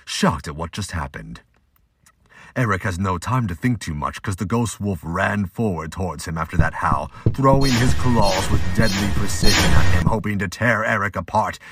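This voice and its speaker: Deep-voiced man narrating, noisy recording. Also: lively intonation, normal speed. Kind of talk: dramatic scripted narration.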